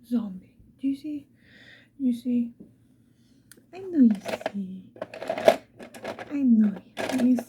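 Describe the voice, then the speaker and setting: A woman speaking mostly in a breathy whisper, chatting casually to the viewer in an ASMR get-ready style. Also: fairly high pitch, animated, takes it slowly, long pauses, warm tone, slight background noise. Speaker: ASMR video creator.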